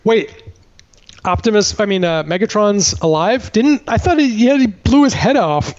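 High-voiced man, podcast co-host, rambling incredulous recap, dull lo-fi audio. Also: expressive, moderate pace, a little mellow. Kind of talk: casual chat, movie recap.

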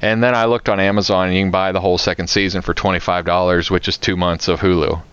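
A man's voice: sits low, level tone, fast talker, fairly bright tone, smooth, dry recording. A man from the United States co-hosting a podcast, chatting casually and telling stories in a jokey way. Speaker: podcast co-host. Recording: dull, lo-fi podcast audio.

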